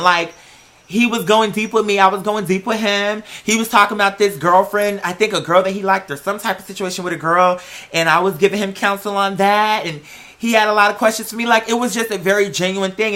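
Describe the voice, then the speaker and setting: An American vlogger telling personal stories in a candid, chatty, slangy way, with drawn-out words for emphasis. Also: mid-range voice, a bit bright. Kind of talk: casual personal storytelling to viewers.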